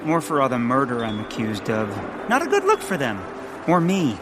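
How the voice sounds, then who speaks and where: A man's voice: mid-range voice, wildly swooping pitch, normal speed. A man playing a nervous, earnest, eccentric naturalist with an awkward manner in a comedic audio drama. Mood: deadpan. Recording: music behind the voice.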